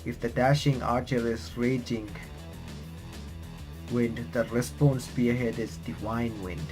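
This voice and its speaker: A man hosts a game playthrough, reading narrative text aloud and dropping in casual comments. His pitch is average for a man and his reading is halting, with stumbles and restarts. Faint game music plays behind him. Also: steady tone. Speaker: playthrough host reading and reacting to a visual novel.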